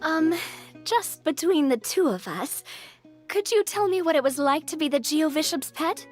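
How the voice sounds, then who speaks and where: An actress playing a cheerful, curious young woman in a video game, friendly, tactful and expressive. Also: sits high, moderate pace, soft background music. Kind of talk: scripted video game dialogue.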